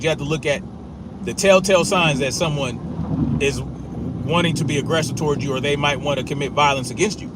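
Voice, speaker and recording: Man, fairly high voice, stutters, blunt and profane; noisy outdoor recording. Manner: forceful, profane, repetitive, with a stutter. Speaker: commentator. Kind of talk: blunt opinion monologue.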